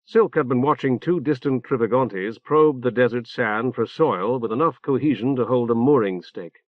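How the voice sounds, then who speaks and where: A man's voice: medium pitch, moderate pace, no echo. Man narrating a novel, dull tape sound. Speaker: audiobook narrator. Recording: dull, roomless cassette transfer.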